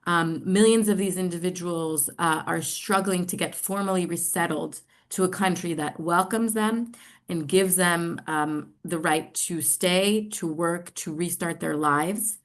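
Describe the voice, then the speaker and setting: A middle-aged American woman from New York giving an online presentation, speaking haltingly with frequent pauses. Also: lowish voice. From New York, United States. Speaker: social enterprise representative presenting.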